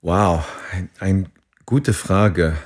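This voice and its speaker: A man. He speaks very slowly as an interview guest, reflecting haltingly and searching for words in a language that is not his first. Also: low-pitched. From England. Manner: halting, searching for words in non-native speech.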